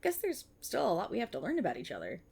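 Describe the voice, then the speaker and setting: A woman playing an excitable, earnest young banshee idol-in-training, chatty and teasing, in an ASMR-style roleplay aimed at the listener. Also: medium pitch, fast, creaky, breathy edge, heavily acted. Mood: playful, excited.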